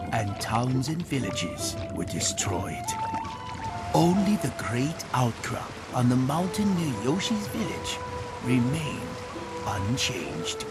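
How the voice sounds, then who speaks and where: Folktale narrator, mid-pitched voice, very lively intonation, deliberate dramatic phrasing, scripted delivery. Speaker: storyteller. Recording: music behind the narration. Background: prominent music.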